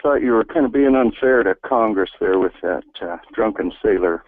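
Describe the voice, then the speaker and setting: Male caller, lowish pitch, wry, casual, narrow tinny telephone audio. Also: lively intonation, moderate pace. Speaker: radio show caller. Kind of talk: casual joking call-in. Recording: thin phone line on radio.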